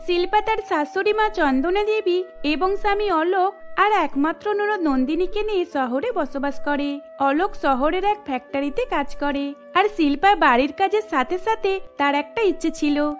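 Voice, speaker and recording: A woman's voice: extremely high pitch, normal speed, faint music behind. A woman narrating a scripted cartoon story.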